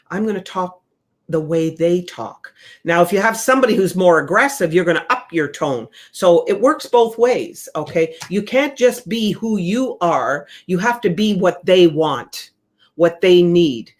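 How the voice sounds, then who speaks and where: A real estate sales trainer giving a relaxed, conversational training talk, coaching listeners and repeating the key points. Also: mid-range voice, a little slow.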